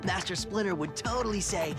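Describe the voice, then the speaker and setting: A man's voice: sits high, fairly quick, close to an everyday voice. A man voicing a playful teenage mutant turtle slacker in a video game, laid-back, slangy and jokey. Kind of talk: animated video game acting. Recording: loud music under the voice.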